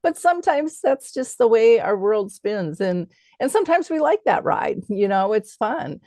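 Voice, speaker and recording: A woman's voice: on the high side, very animated, moderate pace, warm tone, no echo. Chatty woman hosting, dry close sound. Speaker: interview show host. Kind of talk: conversational interview.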